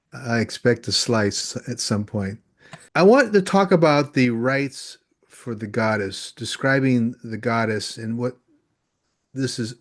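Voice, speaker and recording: A man hosts an interview show, steering with questions and quick friendly responses. His voice sits in an ordinary middle range. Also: medium pitch. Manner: friendly, asks questions, short reactions. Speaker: podcast host. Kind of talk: interview hosting.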